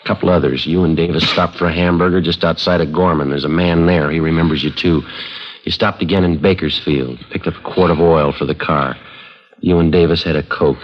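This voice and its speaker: A man playing a methodical, no-nonsense American police detective sergeant in a 1950s radio drama, speaking in clipped, deadpan, factual phrases. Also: low voice, a little fast. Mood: firm, factual. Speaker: lead actor and narrator of a police radio drama. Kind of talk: 1950s radio acting, matter-of-fact procedural narration. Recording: muffled 1950s radio broadcast recording, music.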